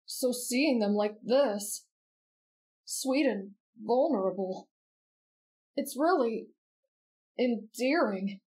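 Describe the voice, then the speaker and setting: Female performer as gentle teenage anime boy, polite, earnest, slow pace, soft. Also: a little high. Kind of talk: anime fan roleplay audio drama.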